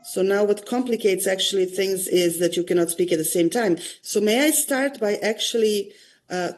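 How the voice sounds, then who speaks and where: A woman's voice: mid-range voice, darkish tone, rough recording. A woman law professor running an online class, polite and hesitant with many fillers, over a video call.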